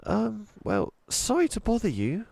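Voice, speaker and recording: A man's voice: sits high, huge pitch swings, unhurried, vocal fry, darkish tone, dry recording, pitched above the performer's own voice, exaggerated voice. A man voicing a nervous, earnest twelve-year-old girl who speaks hesitantly and politely in a storybook reading.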